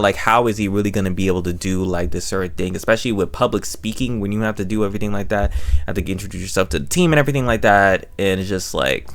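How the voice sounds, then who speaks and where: A man giving a casual, chatty review commentary as host, rambling and repeating himself in slangy talk. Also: lowish voice. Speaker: reviewer of an audio drama and channel host.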